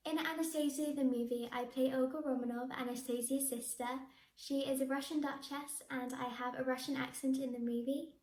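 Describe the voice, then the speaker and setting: A woman's voice: sits high, fairly even intonation, fairly quick, a little mellow. A teenage girl, an actress answering interview questions to camera in a bubbly, enthusiastic way. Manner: bubbly, enthusiastic, with frequent laughs. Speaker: young actress. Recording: echoey room.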